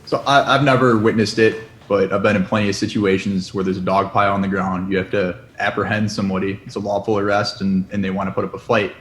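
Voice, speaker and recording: Low-voiced young police officer. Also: male voice, flat delivery, fast, hardly any pauses, fairly bright tone, slight background noise. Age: 20s. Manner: direct, matter-of-fact. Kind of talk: interview testimony. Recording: roomy echo.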